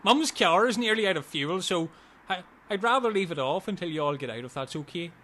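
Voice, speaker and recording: A man's voice: high-pitched, animated, a little fast, bright, forceful tone, a little hiss or hum. An actor playing a hapless, cocky young male getaway driver in a foul-mouthed comedy sketch, defensive and matter-of-fact. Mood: sheepish.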